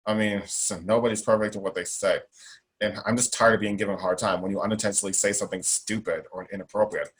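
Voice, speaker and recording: A man's voice: lowish voice, steady tone, fast talker, rough recording. A young man speaking as an interview guest, emphatic and outspoken, with a strong voice. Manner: emphatic, outspoken, repeats himself. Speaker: guest, self-advocate. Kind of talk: interview conversation.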